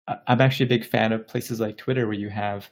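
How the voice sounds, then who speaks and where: A man's voice: on the low side, fairly even intonation, fast talker. A man from Bangladesh speaking as an interview guest, explaining things casually and thoughtfully, often searching for his words. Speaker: tech company founder interviewed as podcast guest.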